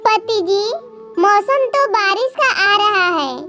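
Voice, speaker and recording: A woman voices a little female bird in a children's cartoon. The voice is extremely high and childlike, plain-spoken, with music clearly playing behind it. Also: animated, takes it slowly, exaggerated voice. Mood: bossy, scheming. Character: small female cartoon birds, wives and mothers. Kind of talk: children's animated moral story dialogue.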